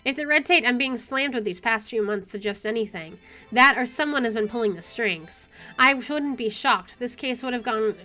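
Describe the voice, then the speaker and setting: A woman's voice: a little high, normal speed, bright, forceful tone. A woman reading visual novel narration aloud with wry, dramatic expression over game music. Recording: music playing behind the voice.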